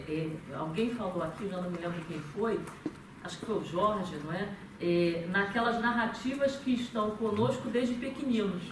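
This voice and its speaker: A woman with a Brazilian Portuguese accent giving a thoughtful talk at a meeting, pausing often on fillers and restarting her phrases. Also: mid-range voice. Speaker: conference speaker. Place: meeting room. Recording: echoey room, far from the mic, noisy.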